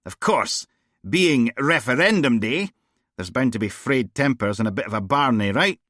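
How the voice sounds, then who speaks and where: A man voicing a brash, crude middle-aged woman who runs a police team, loud and mocking. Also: mid-range voice, animated, normal speed, no echo, clean studio sound, voice pushed up. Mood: scornful.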